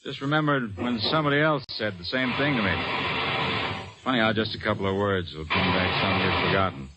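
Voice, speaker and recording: An American man playing a steady, thoughtful army captain in an old-time radio drama, courteous and measured in manner. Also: lowish voice, loud music behind the voice, close to an everyday voice, poor audio. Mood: calm, reflective.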